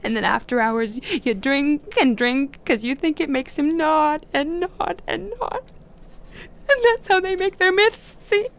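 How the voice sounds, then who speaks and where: Radio play role: distraught young female academic, high voice, insistent, broken phrasing, haunted by a man. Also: measured pace. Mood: tearful, anguished. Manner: emotional, insistent, fragmented phrases. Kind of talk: audio drama acting.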